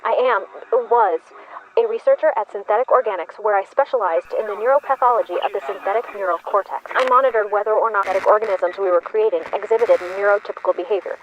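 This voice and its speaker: A man's voice: high voice, fast talker, highs cut off, slight background noise, natural voice. A man playing a scientist broadcasting a grave confession in a sci-fi audio drama. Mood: grave, confessional.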